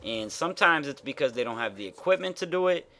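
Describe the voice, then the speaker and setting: A man's voice: mid-range voice, animated, fast, sharp tone. A man with a nasal voice giving frank, rambling commentary over gameplay as a gaming YouTuber, full of fillers and the odd swear.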